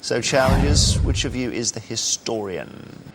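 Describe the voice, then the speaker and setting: A man's voice: medium pitch, unhurried, very noisy. Dry-witted male quiz show host. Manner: dry, teasing banter.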